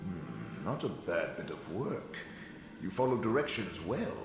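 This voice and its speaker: A man's voice: low-pitched, wildly swooping pitch, unhurried, gravelly, heavily acted. A man playing an eccentric, self-assured fantasy wizard in a video game, articulate, theatrical and musing, with a heavy ghostly reverb on his voice. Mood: musing, self-satisfied. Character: eccentric Telvanni wizard, male, self-assured and dryly fussy. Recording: very echoey reverberant hall sound, music behind.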